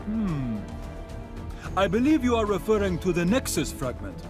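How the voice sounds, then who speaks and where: A man's voice: high-pitched, sing-song, moderate pace. A man playing a courteous, mystical keeper of trials in a video game, speaking in a formal, theatrical way. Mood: courtly. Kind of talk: animated video game character acting. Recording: music behind the voice.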